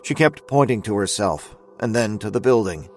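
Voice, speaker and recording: A man's voice: fairly low pitch, lively intonation, moderate pace, slight background noise, natural voice. A man narrating a scripted audio drama as its storyteller.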